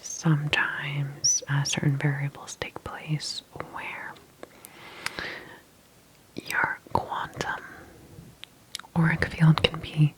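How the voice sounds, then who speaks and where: Woman, breathy whisper, very unhurried pace, ASMR medical roleplay, gentle, reassuring clinician manner. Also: sits low, poor audio. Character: calm sci-fi clinic healthcare specialist. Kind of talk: ASMR soft-spoken roleplay.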